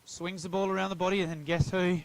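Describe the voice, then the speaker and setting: A man's voice: high-pitched, fairly quick, a little hiss or hum, rough recording. An Australian man giving live play-by-play commentary on a local football match. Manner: steady, even play calling.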